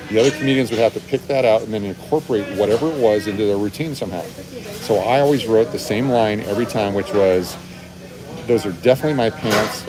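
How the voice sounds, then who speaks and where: A man's voice: on the low side, low-quality recording. A middle-aged man chatting casually and jokily as a live-stream host, telling comedy anecdotes. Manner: joking. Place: restaurant interior. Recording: busy venue with crowd and background bustle.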